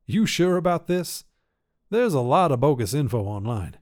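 A man's voice: on the high side, sing-song, moderate pace. A young grandson in a short story, read by a male narrator, worried and full of doubt. He speaks hesitantly, questioning what he is told. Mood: doubtful.

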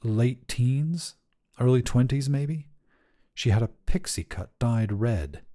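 A man's voice: mid-range voice, lively intonation, takes it slowly, warm tone. A man narrating scripted short fiction for a podcast.

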